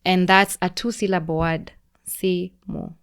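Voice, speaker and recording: A young woman with a Kenyan accent, a podcast guest explaining things in a relaxed, teacherly way with frequent restarts. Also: fairly low pitch, measured pace, clear, resonant tone. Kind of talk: casual conversational explaining.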